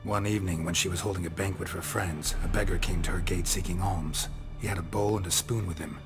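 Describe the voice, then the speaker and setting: Casting: male actor as gruff, sardonic fantasy monster hunter, middle-aged, American accent, very deep gravelly voice, laconic and deadpan delivery, music underneath. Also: fairly quick, close to an everyday voice. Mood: grave storytelling. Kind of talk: fantasy video game dialogue.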